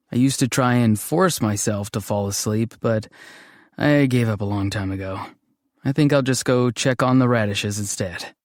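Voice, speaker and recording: An actor voicing a dreamy, awkward, gentle young man in a fantasy game, musing and hesitant in a deadpan way. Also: mid-range voice, no echo, clean studio sound, close to an everyday voice. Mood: drowsy, flat. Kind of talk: scripted game character voice lines.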